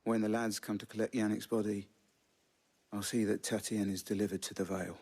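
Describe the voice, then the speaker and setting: An actor playing a weary, pragmatic soldier in a game cutscene, rough-voiced, plain-spoken and resigned. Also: male voice, lowish voice, level tone, a little fast, darkish tone. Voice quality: gravelly, rough. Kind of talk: dramatic video game cutscene acting.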